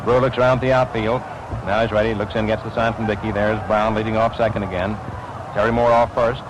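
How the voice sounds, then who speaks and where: An American man calling baseball play-by-play in 1940s radio style, describing the action in crisp phrases. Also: lowish voice, flat delivery, brisk. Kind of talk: 1940s radio sports commentary. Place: ballpark broadcast position. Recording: noisy vintage 1940s radio broadcast.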